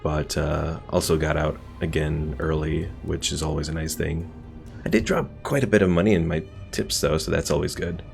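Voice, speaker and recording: Low, creaky-voiced man streaming a game. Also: steady tone, normal speed. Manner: rambling, thinking aloud. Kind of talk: casual gameplay commentary while chatting with viewers. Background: game music.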